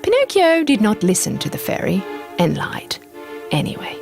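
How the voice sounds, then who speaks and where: A woman narrates a scripted bedtime fairy tale for children. Her medium-pitched voice swoops with great expression. Music plays clearly beneath the storytelling. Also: huge pitch swings, moderate pace.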